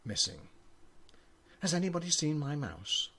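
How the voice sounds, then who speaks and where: An older man, a grandfather, reading children's poems aloud with an expressive storybook delivery. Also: fairly high pitch, measured pace, breathy edge.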